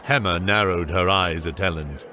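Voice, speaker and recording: Low-pitched male fantasy narrator. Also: normal speed, bright, forceful tone, a little hiss or hum. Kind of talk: scripted fantasy audiobook narration.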